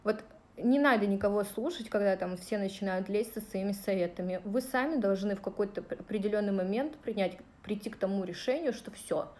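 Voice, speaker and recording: A woman's voice: mid-range voice, darkish tone. Chatty Russian woman on a phone livestream. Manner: chatty and direct, with many fillers. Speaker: vlogger answering viewer questions. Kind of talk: casual livestream talk. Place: small room indoors. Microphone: phone held at arm's length.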